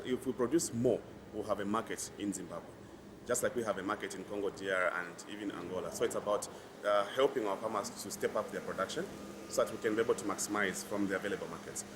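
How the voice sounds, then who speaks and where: A man with a Zambian accent giving an interview comment as a policy researcher. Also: on the low side. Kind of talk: spoken news interview comment. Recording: clear outdoor urban background noise.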